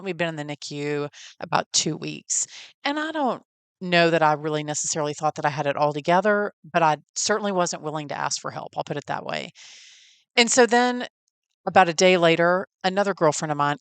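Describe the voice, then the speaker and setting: Texan woman with a low, smooth voice. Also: animated, a little fast, dry recording. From Texas. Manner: friendly, folksy, heartfelt. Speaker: podcast host. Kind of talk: personal storytelling.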